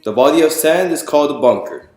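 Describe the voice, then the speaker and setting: A man's voice: on the high side, animated, quick pace, a little mellow, soft background music. Man reading storytime in an echoey room. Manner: explanatory, presentational, addressing listeners with questions. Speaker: author reading own picture book to an audience. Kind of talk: storytime read-aloud to an audience.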